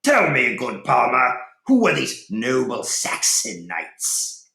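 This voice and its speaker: A proud Saxon lord of a storybook, put on by a male reader, eager and commanding. The voice jumps up in pitch with emphatic swings, in an echoey room. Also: wildly swooping pitch, slow, gravelly, bright, forceful tone, exaggerated voice.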